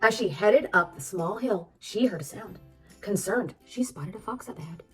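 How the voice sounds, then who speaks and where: A woman reading a children's storybook aloud as narrator, expressive and addressing young listeners. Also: mid-range voice, moderate pace, distant mic. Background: background music.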